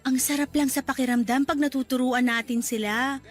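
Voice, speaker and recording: An actress playing a gentle, encouraging young woman in a classic radio drama. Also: sits high, steady tone, brisk, close to an everyday voice. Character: kind gentle young woman volunteer, Diana. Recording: radio drama with background music.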